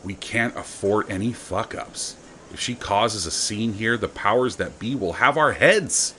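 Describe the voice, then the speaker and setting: An actor playing a stern, ruthless, sardonic official in an audio drama, blunt and gruff. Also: male voice, on the low side, measured pace, sharp tone, slight background noise.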